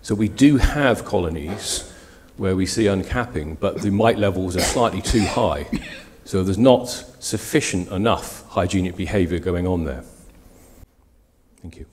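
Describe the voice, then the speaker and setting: A man's voice: sits low, lively intonation, unhurried, darkish tone, slight background noise. A man co-presenting on a convention panel, explaining points steadily into a handheld mic in a lecture hall.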